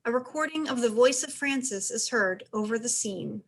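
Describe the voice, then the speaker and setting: A woman's voice: a little high, animated, moderate pace, husky. A woman reading stage directions as narrator, in scripted narration for a play.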